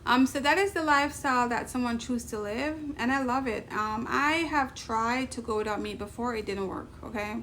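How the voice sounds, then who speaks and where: A woman's voice: on the high side, moderate pace, few pauses, a bit bright, slight background noise. A woman from Jamaica with a Jamaican accent, chatting casually to camera in her vlog, often laughing and adding emphatic asides. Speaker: vlogger.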